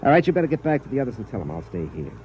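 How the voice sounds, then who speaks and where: A man's voice: mid-range voice, sing-song, very fast, slight background noise, natural voice. An actor playing a weary, stubborn soldier on a colony world, narrating his story in plain, grim first person, in 1950s radio drama style. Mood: tense. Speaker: narrator and lead character. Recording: dull old radio broadcast.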